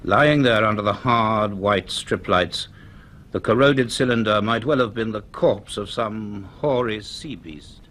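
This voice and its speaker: An actor playing a grave, dryly authoritative professor in a radio serial, speaking in a measured, formal way. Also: male voice, fairly low pitch, a bit bright, a little hiss or hum. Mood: grave, reflective. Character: grave, dryly authoritative male university professor recording his notes. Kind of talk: dramatised narration in a radio serial.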